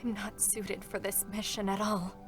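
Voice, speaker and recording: A woman playing an anguished, guarded female combat android in a game story reading, with a halting, emotionally raw dramatic delivery. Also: mid-range voice, level tone, music in the background, natural voice. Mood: desperate, pleading. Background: musical accompaniment.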